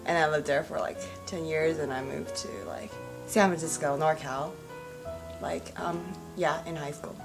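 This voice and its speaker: Low-voiced young woman, chatty and rambling. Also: steady tone, moderate pace, vocal fry, over music, low-quality recording. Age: 20s. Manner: rambling, many "like" and "you know" fillers. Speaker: actress. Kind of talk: casual interview answers.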